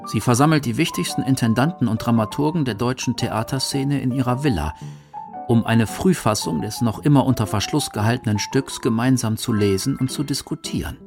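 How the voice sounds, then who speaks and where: A man narrating and reading quotations for a scripted radio documentary, measured and articulate. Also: medium pitch, creaky. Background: music.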